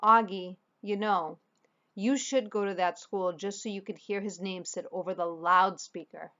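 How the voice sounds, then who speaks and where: An excitable, joking storybook dad, voiced by a woman reading aloud. The pitch is lifted and the delivery is teasing and full of exclamations. The recording sounds a bit dull. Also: unhurried, natural voice. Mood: amused excitement.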